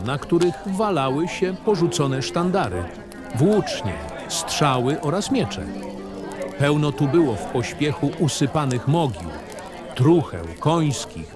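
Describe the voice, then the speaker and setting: A man giving a grave, dramatic first-person narration as a war-weary, cynical baron in a fantasy audio drama. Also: mid-range voice. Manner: grave, literary storytelling.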